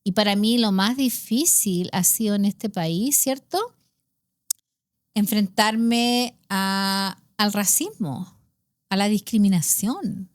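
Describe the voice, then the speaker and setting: A woman's voice: medium pitch, takes it slowly, no echo. Host, woman, middle-aged, Chilean accent, conversational storyteller, dry studio sound. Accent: Chilean Spanish. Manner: chatty, storytelling, frequent tag questions. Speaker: podcast host. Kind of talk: casual conversational chat.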